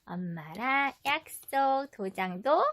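A woman's voice: sits high, animated, slow pace, a bit bright. A woman reading a children's story expressively as a mother would, speaking directly and instructively to a small child.

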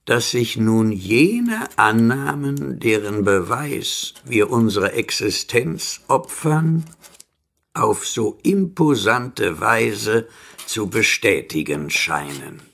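A male actor playing a sinister, obsessive, ageless alchemist in a horror radio play, pompous and theatrical, with a husky voice. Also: on the low side, lively intonation, fairly bright tone, full character voice. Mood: grandiose. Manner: slow, pompous, theatrical declaiming. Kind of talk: horror audio drama acting.

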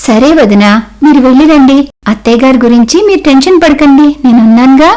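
A woman's voice: sits high, a little fast, a little mellow, voice pushed down, natural voice, low-quality recording. A woman voicing a polite, dutiful young daughter-in-law with a deferential manner in a cartoon moral story.

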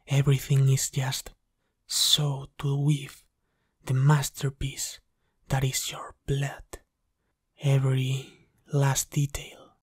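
Man acting a slow, hushed, obsessive male vampire. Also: mid-range voice, breathy edge, dry recording, studio quality. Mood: hungry, enraptured. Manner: hushed, slow, intimate, lapsing into whispers. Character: obsessive, dominant male yandere vampire, starved for blood. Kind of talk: ASMR roleplay. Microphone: close, dry microphone.

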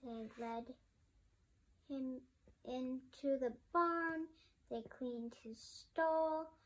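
A woman reads a children's picture book aloud in an expressive, deliberate way meant for young listeners. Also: high voice. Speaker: storybook reader.

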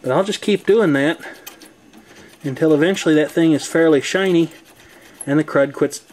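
Higher-voiced American man, casual demonstrator. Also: normal speed, a little mellow, a little hiss or hum. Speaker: presenter demonstrating tape deck maintenance. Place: indoor room at home. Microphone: handheld camcorder microphone.

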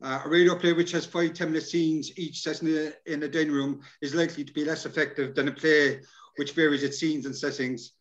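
Higher-pitched Irish man, dull call audio. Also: level tone, brisk. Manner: chatty, repeats "you know". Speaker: workshop presenter. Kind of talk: informal instructional talk. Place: indoors, plain room on video call.

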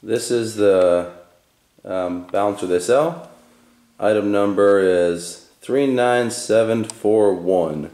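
A man's voice: on the low side, takes it slowly, warm tone. A man casually showing how to use a tool in his home garage, talking in a laid-back, rambling way while filming on a handheld camera. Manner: laid-back, rambling, with fillers and self-corrections. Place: home garage with concrete floor. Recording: echoey garage, faint background noise.

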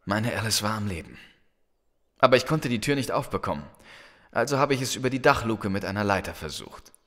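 An actor playing a devoted young lover in a radio play, recounting an ordeal with earnest urgency. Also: male voice, on the low side, expressive, fairly quick, fairly bright tone. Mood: relieved, breathless. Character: desperate, devoted young male lover telling his ordeal. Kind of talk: German audio drama acting.

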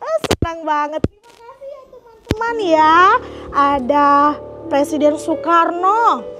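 Very high-pitched theatrical woman children's storyteller. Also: animated, unhurried. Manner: exaggerated theatrical wondering, addressing children directly. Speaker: children's show host and storyteller. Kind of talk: playful storytelling chat with children. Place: TV studio stage with children seated around. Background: music.

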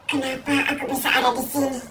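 A woman voicing an earnest young bald boy hero in a comedy parody sketch, with a high squeaky kid voice. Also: level tone, quick pace, pushed and bright, slight background noise.

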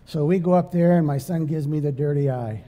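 A middle-aged man preaching from a church stage, telling a personal story in a conversational, animated way that rises to emphatic preaching. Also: on the high side, fairly quick. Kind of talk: storytelling sermon. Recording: long church hall reverb.

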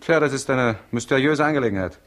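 Man acting a witty, theatrical doctor, very lively intonation. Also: mid-range voice, quick pace, slight background noise, lightly acted. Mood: brisk. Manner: flowery, mocking, theatrical speech. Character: witty, ironic hospital doctor teasing his pupil. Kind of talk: 1960s German-language radio crime drama acting.

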